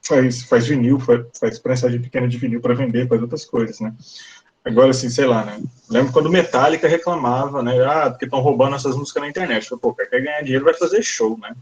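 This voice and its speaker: A man's voice: mid-range voice, fast talker, highs cut off. A man from Brazil with a coastal Brazilian accent, giving an online university lecture in a rambling way, full of fillers and tag questions. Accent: Brazilian Portuguese, coastal.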